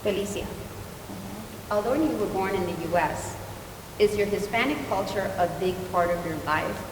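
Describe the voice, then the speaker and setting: A woman moderator introduces the panelists and poses questions in a large hall. She sounds distant from the mic, with a heavy echo, long reverb and a clear low rumble behind her. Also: normal speed.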